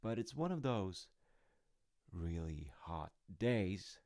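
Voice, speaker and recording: A man playing a gentle, wryly amused dad who tells his kid a folktale in an unhurried, musing, conversational way for an audio roleplay. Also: low voice, sing-song, no room sound, clean studio sound, full character voice. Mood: fond, wry. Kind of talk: audio roleplay, rambling bedtime-style storytelling.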